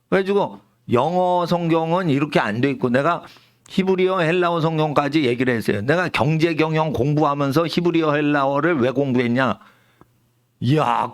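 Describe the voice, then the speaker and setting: A middle-aged man preaching a sermon in church, emphatic and animated, dropping English words into his talk. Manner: animated, emphatic preaching with lively pitch swings. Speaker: pastor. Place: church sanctuary.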